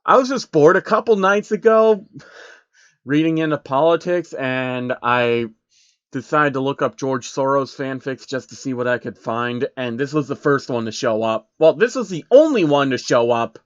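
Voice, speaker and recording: Guy reading fanfic out loud, kinda wry and casual, dry muffled mic. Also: medium pitch, lively intonation, moderate pace, clear, resonant tone, no echo. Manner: informal, wry, amused asides. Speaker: narrator reading fan fiction aloud. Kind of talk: casual commentary and amateur storytelling.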